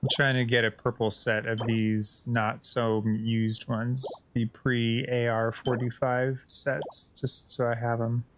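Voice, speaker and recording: A man's voice: mid-range voice, little pitch movement, slow, few pauses, fairly bright tone, a little hiss or hum. A man giving casual, chatty gameplay commentary as a streamer, often addressing the game characters. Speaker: gaming streamer.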